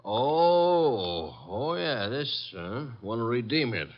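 An actor playing a reluctant, folksy pawnbroker, mumbling hesitantly in a 1950s radio drama. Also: male voice, medium pitch, very animated, takes it slowly. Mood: hesitant, shrugging. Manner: hesitant, folksy, clipped grammar. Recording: dull, noisy old radio recording.

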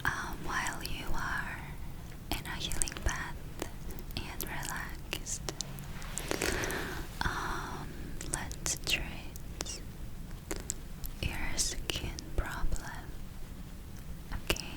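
Woman, ASMR roleplay as a gentle witch. Breathy whisper throughout, very unhurried, soothing, long pauses. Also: low-quality recording. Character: gentle witch giving a healing spa treatment. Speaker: ASMR performer.

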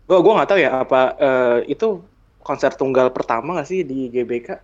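A man with a Jakarta accent talks in a loose, slangy podcast style at an ordinary pitch. His delivery is bantering and conversational. The audio is a little dull and lo-fi. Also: normal speed. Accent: Jakarta Indonesian. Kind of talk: casual podcast chat.

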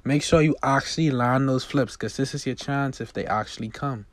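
A man voicing a lively, teasing teenage best friend in a movie script reading. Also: mid-range voice, normal speed, no room sound, pitched above the performer's own voice, close to an everyday voice. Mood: eager. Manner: chummy, teasing.

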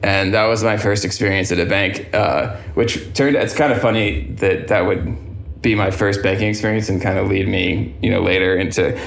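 A man from Europe with a fairly low voice tells a childhood story in relaxed conversation. His voice sounds roomy, with clear echo around it. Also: moderate pace, a little hiss or hum. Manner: relaxed storytelling, laughs easily. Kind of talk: casual conversation, anecdote.

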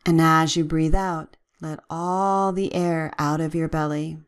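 A woman leading a guided relaxation with gentle, soothing, measured instructions and long pauses. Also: low voice, steady tone, clean tone.

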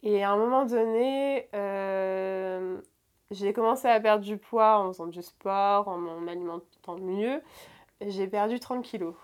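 A young woman in her twenties speaks at a middling pitch about her past, pausing often and restarting sentences. Her delivery is halting and full of fillers. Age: 20s. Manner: hesitant, many fillers and restarts. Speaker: guest. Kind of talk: personal confession in conversation.

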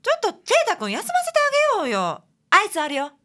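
A woman's voice: high voice, wildly swooping pitch, fast talker, fairly bright tone, heavily acted. A woman playing a caring, teasing detective's assistant in a radio drama, chatty and fussing, with a Kansai accent. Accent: Japanese, Kansai.

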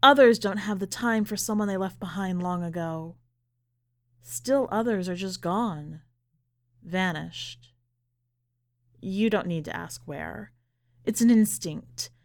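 Woman, scripted story narration, very slow pace, deliberate phrasing, medium pitch. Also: darkish tone. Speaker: podcast editor reading a short story.

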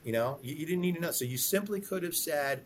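A man's voice: a little high, animated, fast, slight background noise. A man who is a guest lawyer on a legal podcast, explaining hiring practices in conversation. He often repeats words as he starts a thought and tags his points with "right?".

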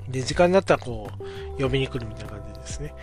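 A man's voice: medium pitch, animated, very fast, faint music behind. A man in his forties telling a childhood story on his own podcast, speaking politely and unhurriedly, with many filler sounds. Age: 40s. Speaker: podcast host. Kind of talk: casual storytelling monologue.

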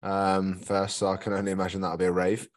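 A man with a low-pitched voice, co-hosting a casual podcast. His conversational delivery is understated, with a dry sense of humour. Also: monotone, brisk. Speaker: podcast co-host.

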